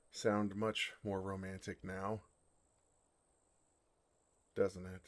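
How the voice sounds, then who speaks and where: A man voicing an ancient, gentle and oblivious dragon in an ASMR roleplay, with a halting, bewildered and earnest delivery. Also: fairly low pitch. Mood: sheepish, tender.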